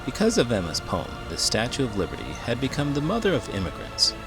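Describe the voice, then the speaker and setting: A man's voice: mid-range voice, huge pitch swings, quick pace, music in the background. A man reading a children's book aloud as a host, with dramatic, emphatic delivery. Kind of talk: expressive storybook read-aloud.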